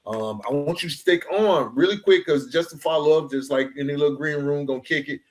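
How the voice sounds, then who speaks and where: A man's voice: on the high side, brisk. A man hosting a podcast, talking casually and earnestly, often dropping in "man" and "bro" as he goes. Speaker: podcast host.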